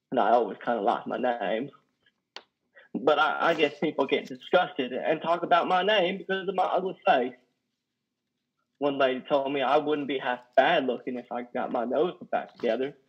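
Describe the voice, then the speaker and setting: Guy playing a wounded simple trucker, halting folksy talk, play reading, muffled video call sound. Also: mid-range voice, breathy edge. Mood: wounded, reflective. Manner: halting, folksy, ungrammatical drawl of speech. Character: simple, wounded, lonely male trucker with a battered face. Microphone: headset on a video call. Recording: dull video call audio.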